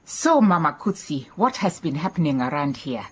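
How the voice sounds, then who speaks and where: An actress playing a wise, composed lady detective in a radio drama, with a measured, inquisitive manner. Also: sits low, sing-song, breathy edge, exaggerated voice. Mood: curious.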